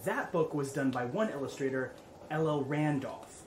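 An actress playing an eager, curious female documentary interviewer with a husky voice, speaking naturally in audio drama. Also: low voice, lively intonation, moderate pace, a little hiss or hum.

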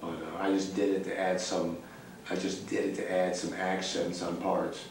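Brief: young man, very deep monotone voice, blunt and profane, echoey room on a distant camera mic. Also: moderate pace. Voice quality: very low voice. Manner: blunt, profane, laid-back drawl. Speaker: band member being interviewed. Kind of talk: casual backstage interview. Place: cramped backstage room indoors. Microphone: far camera mic.

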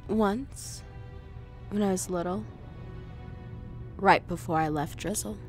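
A woman's voice: mid-range voice, slow pace, soft background music, close to an everyday voice. A woman playing a curious, amazed listener to a space tale in a science fiction audio drama.

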